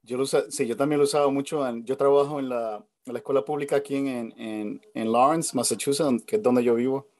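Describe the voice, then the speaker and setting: A man's voice: mid-range voice, steady tone, quick pace, few pauses, a little mellow, dry recording. A man with a Puerto Rican accent, hosting a casual video call chat, talking hesitantly with many fillers and restarts. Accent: Puerto Rican Spanish. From Puerto Rico. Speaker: host. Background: family noise at home.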